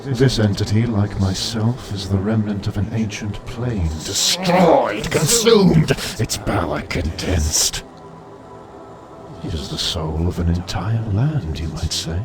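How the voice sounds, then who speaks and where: A man voicing an ancient, proud and coaxing entity of immense power in an audiobook, speaking in a deliberate, grandiose way. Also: lowish voice, lively intonation, over music. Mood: coaxing, solemn. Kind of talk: audiobook character voice.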